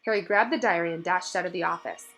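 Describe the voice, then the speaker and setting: Female storybook narrator, expressive, bright and crisp-edged, soft music underneath. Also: on the low side, quick pace, rough recording. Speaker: read-aloud narrator.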